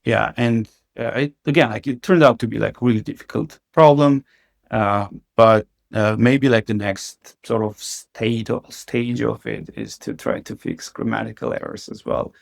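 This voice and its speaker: A man's voice: fairly low pitch, lively intonation, measured pace, vocal fry. A man, a company CEO and podcast guest, talking casually in an interview and filling his sentences with "like" and restarts. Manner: heavy use of the filler "like", frequent restarts.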